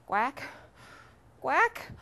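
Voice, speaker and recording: A woman's voice: on the high side, animated, normal speed, fairly bright tone, pitched above the performer's own voice. A woman voicing a plucky storybook duck who quacks insistently, chants in repeats and panics easily. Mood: panicked pleading. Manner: exclamatory, repetitive quacking and chanting.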